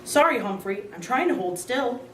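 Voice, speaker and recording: A man's voice: very high-pitched, lively intonation, normal speed, pushed and bright, a little hiss or hum, pitched above the performer's own voice, heavily acted. A woman voicing a sulky young schoolboy. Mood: apologetic. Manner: grumbling, sullen. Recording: roomy, distant mic.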